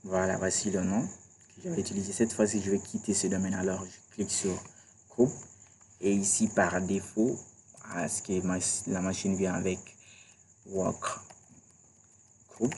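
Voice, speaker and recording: A man's voice: sits low, steady tone, measured pace, pauses often, a little mellow, slight background noise. A man teaches a screen-recorded computer tutorial, chatty and repeating small checks with his viewers. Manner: chatty, repeats "d'accord" and "voilà". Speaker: IT tutorial instructor.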